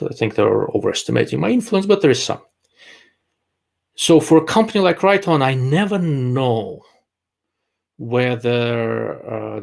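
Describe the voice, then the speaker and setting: A man with a gravelly, creaky voice at an ordinary male pitch, hosting a casual live stream. He answers viewer questions bluntly and in his own time, pausing often with "uh" as he thinks aloud. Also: a little mellow. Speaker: livestream host answering viewer chat.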